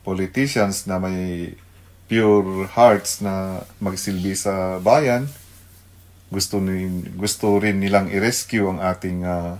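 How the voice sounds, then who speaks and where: Slow, low-voiced Filipino man, halting speech. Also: fairly even intonation. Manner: halting, searching for words, mixes Tagalog and English. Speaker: radio devotional host, pastor. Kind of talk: unscripted devotional radio talk.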